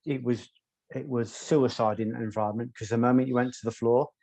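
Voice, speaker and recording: A soft-spoken man, a guest telling stories in an interview in a measured, articulate way. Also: medium pitch, fairly even intonation, no echo. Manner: measured, articulate, occasional ums. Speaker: guest, former doorman. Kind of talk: reflective interview storytelling.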